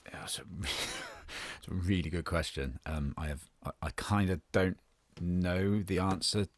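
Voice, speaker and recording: Low-voiced man thinking aloud. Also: a little slow, no room sound. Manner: self-deprecating, thinking aloud. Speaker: actor and writer. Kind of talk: casual chat. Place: home bedroom. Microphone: studio-style microphone, close.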